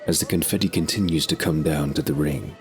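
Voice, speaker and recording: A man's voice: extremely low pitch, a little fast. A man narrating a scripted story in the present and past tense, as a narrator.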